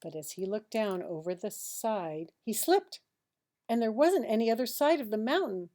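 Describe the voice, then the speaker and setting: A woman reads a children's picture book aloud like a teacher, expressively, with dramatic pauses and hushed asides. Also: fairly high pitch.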